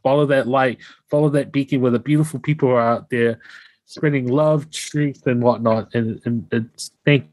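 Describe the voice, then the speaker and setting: A man's voice: mid-range voice, fairly even intonation, normal speed, darkish tone, no room sound. Effusive young man hosting, dry sound. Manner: effusive, enthusiastic. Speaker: podcast host. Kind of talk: casual interview chat. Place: home room, indoors. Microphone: headset on a video call.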